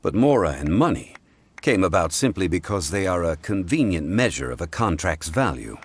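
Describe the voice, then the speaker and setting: An actor playing a calm, learned male consultant in a game cutscene, deep and courteous, correcting others in an unhurried, polite way. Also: breathy edge, heavily acted. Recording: game cutscene with faint score.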